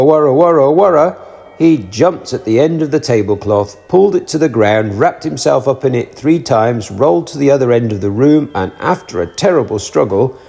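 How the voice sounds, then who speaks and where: A man reading a children's storybook aloud, narrating in an expressive, animated way. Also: medium pitch, creaky.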